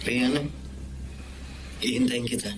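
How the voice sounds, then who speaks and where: A man's voice: mid-range voice, animated, a little slow, heavy background noise. A man playing a charming, persistent young suitor with a coaxing manner in a Tagalog romantic radio drama. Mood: tender, pleading.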